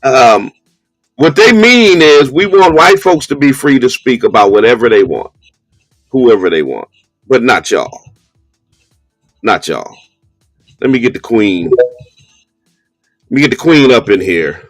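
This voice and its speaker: Slow-talking man hosting live audio chat. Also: medium pitch, very animated, no echo, faint music behind. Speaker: host of a live audio discussion. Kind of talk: casual live call-in chat.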